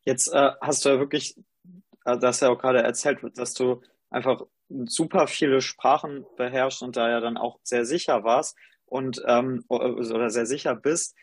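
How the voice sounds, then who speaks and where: Husky-voiced man asking long rambling questions. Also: medium pitch, steady tone, normal speed, no room sound. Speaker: interviewer. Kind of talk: conversational interview.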